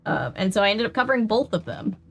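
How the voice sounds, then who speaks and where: A woman's voice: mid-range voice, very animated, fast, gravelly, a bit bright, husky, faint music behind. A woman streaming a video game, chatting casually and rambling as she plays. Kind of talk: casual chat while gaming.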